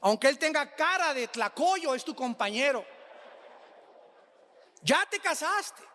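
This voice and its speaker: Male preacher, Mexican accent, bright forceful tone, driving points home through repetition, long hall reverb. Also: very high-pitched, expressive, takes it slowly, slight background noise. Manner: emphatic, repeats phrases for effect. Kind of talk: sermon.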